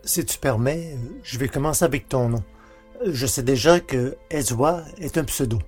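A man's voice: mid-range voice, normal speed, a little mellow, husky, faint music behind. A man presenting and interviewing on a podcast in a scripted announcing style.

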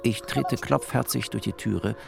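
An actor playing a bookish, tender and wry first-person narrator with poetic, deliberate phrasing in a literary radio drama. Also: male voice, mid-range voice, creaky, over music.

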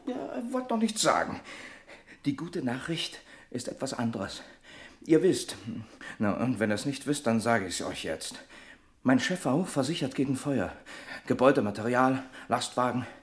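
A man's voice: mid-range voice, very animated, moderate pace, natural voice. An actor playing a hearty, persuasive trucker friend in a German radio crime drama, jovial and teasing, drawing out his announcements. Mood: jovial, excited.

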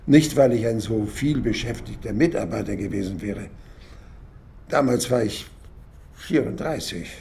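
An actor playing an old former radio writer, musing haltingly and wryly in a reflective radio play monologue. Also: male voice, on the low side, gravelly, mellow. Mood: wistful, musing. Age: older. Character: old former radio writer sorting his papers, wistful and wry.

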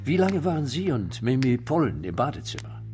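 An actor playing a brisk, probing police detective who questions suspects bluntly in a radio crime play. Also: male voice, mid-range voice, big pitch swings, over music, lightly acted. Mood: insistent. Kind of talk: radio crime drama interrogation.

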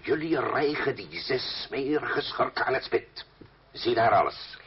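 A man's voice: mid-range voice, lively intonation, unhurried, a crowd in the background, natural voice. An actor playing a coarse, blustering male revolutionary in a radio drama, bellowing, swearing and mocking. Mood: eager, brash. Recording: dull noisy old radio recording.

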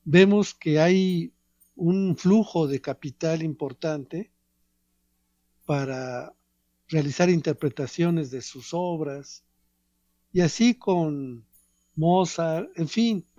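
A man giving a reflective radio talk on art, measured and professorial, pausing between phrases. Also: on the high side, dark tone, no room sound, highs cut off. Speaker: radio commentator.

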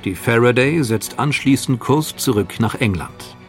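A man narrating a scripted history documentary in a calm, factual delivery. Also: medium pitch, a little slow, soft background music.

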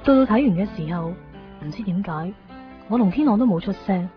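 Woman acting a young hk cantonese girl, soft n earnest, yearning, radio play, bit muffled with light music. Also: medium pitch, lively intonation, brisk, lightly acted. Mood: tender. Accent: Hong Kong Cantonese. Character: yearning, self-doubting young woman in love. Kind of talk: radio drama inner monologue. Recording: dull 1990s radio recording. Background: faint music.

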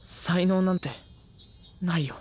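A woman playing a gentle, reserved high school boy in an anime audio drama, soft-spoken, hesitant and polite, with anguish held inside. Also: fairly even intonation, slow pace, heavy background noise. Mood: bitter outburst. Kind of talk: anime audio drama acting with inner monologue. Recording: studio drama with music underneath.

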